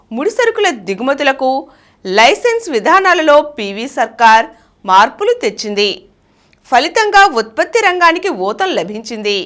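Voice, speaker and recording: High-pitched woman reading aloud, lively intonation. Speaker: reader of biographical articles. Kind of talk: scripted narration, reading aloud.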